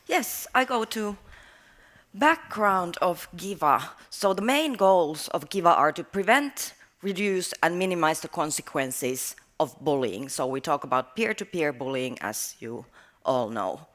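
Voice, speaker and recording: A woman's voice: deep voice, expressive, a bit bright. Chatty woman co-presenting a workshop. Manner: chatty, quick, with fillers. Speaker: researcher and teacher trainer. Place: conference room.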